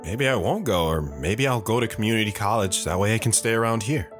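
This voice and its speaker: A man's voice: on the low side, fairly quick, soft background music, close to an everyday voice. A man playing an earnest, awkward teenage boy who tells his own story in an audio drama. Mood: plain. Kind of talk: first-person dramatic narration with acted dialogue.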